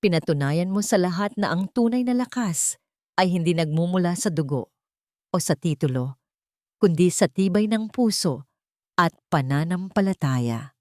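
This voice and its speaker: A woman's voice: on the low side, lively intonation, moderate pace, a little mellow, no echo, studio quality, close to an everyday voice. A woman voicing a kindly, wise old man, a proud grandfatherly mentor in an audio story. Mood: proud, encouraging.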